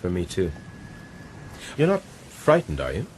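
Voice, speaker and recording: Low-voiced, earnest castaway gentleman. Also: huge pitch swings, measured pace, a little hiss or hum, exaggerated voice. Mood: concerned. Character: shipwrecked gentleman castaway, earnest, protective, newly resolute. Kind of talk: radio drama acting.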